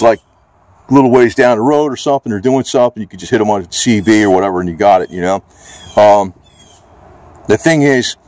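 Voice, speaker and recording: A man with an American accent and a fairly low voice gives a casual, unscripted product review. He rambles in a plain-spoken, mildly profane way. The sound is very dry, with hardly any room on it. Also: a little mellow, no room sound.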